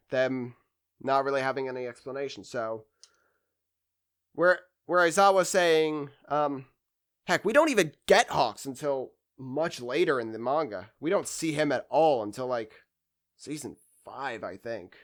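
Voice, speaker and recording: A man's voice: mid-range voice, unhurried. A man hosting a discussion video in a casual, unscripted way, rambling and hesitating as he gathers his thoughts. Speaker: anime discussion video host. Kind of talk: casual unscripted fan commentary.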